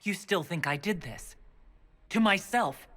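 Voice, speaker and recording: A woman's voice: lowish voice, animated, unhurried, fairly bright tone. An actress voicing a bewildered female dwarf prisoner in a video game, earnest and questioning as she pleads innocence. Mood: wary, questioning. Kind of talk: dramatic video game voice acting. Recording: long hall reverb, music behind.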